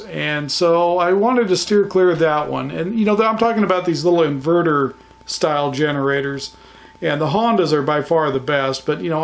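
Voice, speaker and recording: A middle-aged man with an American accent talks through a casual recap as a hobbyist vlogger. His voice sits slightly higher than most men's. He comes across as folksy and plain-spoken. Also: creaky. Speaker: vlogger, amateur radio hobbyist.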